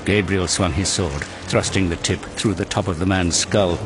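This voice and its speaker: A low-voiced man delivers dramatic scripted narration. He is grave and measured, telling the story over clearly audible cinematic music. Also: fairly even intonation. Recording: loud cinematic music behind the voice.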